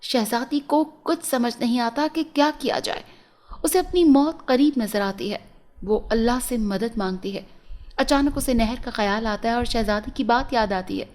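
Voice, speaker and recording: A woman's voice: on the high side, normal speed, a little mellow. A woman reading a scripted fairy tale as a storyteller.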